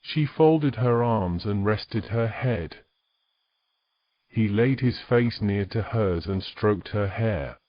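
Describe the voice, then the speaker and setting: Slow, low-voiced male story narrator. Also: animated, dull audio, slight background noise. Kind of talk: scripted fantasy story narration.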